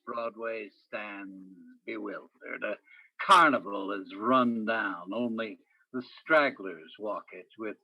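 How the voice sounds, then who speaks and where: A man's voice: medium pitch, dull audio. An actor playing a world-weary police detective who narrates his case in clipped, poetic hardboiled phrases, in the style of 1950s radio noir.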